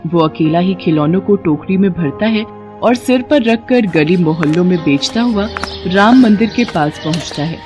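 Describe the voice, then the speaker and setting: A woman narrating a cartoon moral story in a calm, even manner. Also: fairly low pitch, moderate pace, over music.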